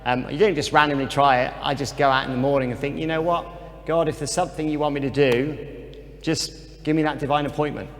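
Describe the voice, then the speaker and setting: A man giving an informal faith talk, relaxed and earnest, with frequent ums. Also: on the high side, a little fast, slight background noise. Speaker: speaker at a church talk. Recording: long hall reverb.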